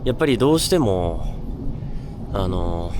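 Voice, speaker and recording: A man hosting a haunted-spot exploration, talking casually and haltingly as he comments on location outdoors. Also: low-pitched, big pitch swings, noisy background. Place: outdoors by a river floodgate at night. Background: background music.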